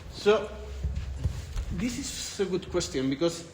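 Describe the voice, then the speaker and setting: A man's voice: sits high, expressive, slow, a little hiss or hum. A man in his forties from Spain, speaking English with a Spanish accent, answering questions in a conference interview in a thoughtful way, often restarting his phrases. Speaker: interviewed researcher. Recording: echoey room with light ambience.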